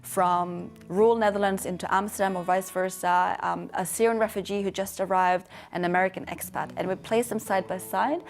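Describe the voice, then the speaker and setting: A young woman from western Norway speaks English with a Norwegian accent, earnest and measured, in a reflective interview. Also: medium pitch, steady tone, faint music behind. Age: young adult. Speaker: founder of a storytelling organisation, interviewee. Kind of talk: reflective interview monologue to camera. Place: dark indoor studio.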